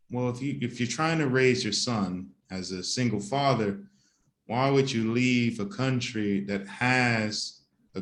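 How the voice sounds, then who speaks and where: Male interview host in an echoey room. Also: medium pitch. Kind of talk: interview hosting. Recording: roomy echo.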